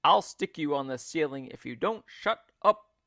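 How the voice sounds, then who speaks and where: A man's voice: fairly high pitch, expressive, normal speed, gravelly, a bit bright, no echo, studio quality. A man voicing a frantic, bossy monkey father in a children's storybook, urgent and commanding. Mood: snappy, urgent. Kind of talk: children's storybook character reading.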